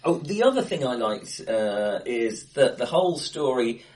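A man co-hosting a casual film discussion, recorded face to face in an acoustically bright room. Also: medium pitch, sing-song, a little slow. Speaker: podcast co-host.

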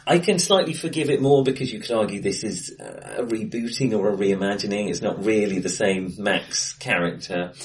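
A man co-hosting a casual film discussion, speaking in a hesitant, halting but enthusiastic way in a bright-sounding room. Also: on the low side. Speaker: podcast co-host. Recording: distant, bright echoey room.

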